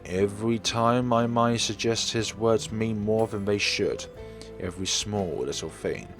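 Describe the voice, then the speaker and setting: A man's voice: on the low side, a little slow. A man reading a visual novel aloud in a dramatic storytelling manner. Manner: dramatic read-aloud narration. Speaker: let's player. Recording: music playing behind the voice. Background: game music.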